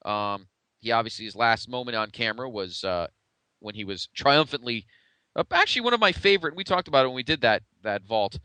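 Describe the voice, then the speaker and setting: Clear, bright-voiced man podcasting. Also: mid-range voice, lively intonation, a little fast, no echo. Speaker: podcast co-host. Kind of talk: casual pro wrestling retrospective chat.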